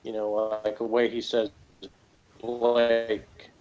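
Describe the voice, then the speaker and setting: A man's voice: on the low side, monotone, slow pace, a little mellow, dull audio. A man co-hosting a casual review chat over an unstable, noisy online call. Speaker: co-host.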